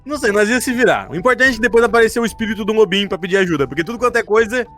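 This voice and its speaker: A man's voice: sits high, lively intonation, racing, a bit bright. A man who is a game master, chatting casually and a bit defensively about a role-playing campaign. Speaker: game master recapping a tabletop RPG campaign. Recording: music behind the talk. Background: music.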